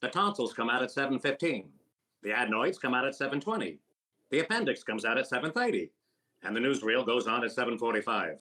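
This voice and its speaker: A sharp, wisecracking quack doctor. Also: male voice, medium pitch, animated, quick pace. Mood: brisk, officious. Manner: wisecracking, mock-grandiose. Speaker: actor. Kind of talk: old-time radio comedy impression with comic patter song.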